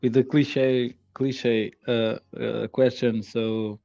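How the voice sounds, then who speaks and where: A man's voice: mid-range voice, flat delivery, gravelly, dark tone, faint music behind. Laughing Portuguese-native man hosting, dull sound. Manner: apologetic, laughing, halting with fillers. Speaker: podcast host. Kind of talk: casual live-stream chat.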